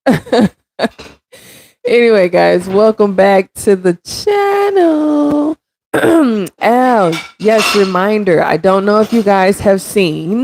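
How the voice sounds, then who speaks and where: A congested woman in her thirties with a raspy, gravelly throat hosts a relaxed livestream, talking straight to her viewers. Her voice sits low and mellow, and she takes her time. The sound is dry and close. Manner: chatty, addresses viewers directly, reads comments aloud. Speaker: stream host. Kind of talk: casual livestream chat.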